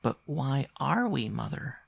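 A man's voice: medium pitch, very animated, measured pace, creaky, breathy edge, dry recording, pitched above the performer's own voice, heavily acted. A man voicing an earnest, timid but insistent young boy in a storybook. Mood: timid, curious. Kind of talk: storybook character dialogue.

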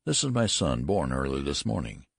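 A horrified, flustered gentleman in a storybook, played by a male reader. He speaks frantically and incredulously, with big swings in pitch and a rough, husky edge. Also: big pitch swings, normal speed, no echo, clean studio sound, full character voice. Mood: horrified despair. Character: frantic, horrified new father, adult gentleman. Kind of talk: audiobook character voice.

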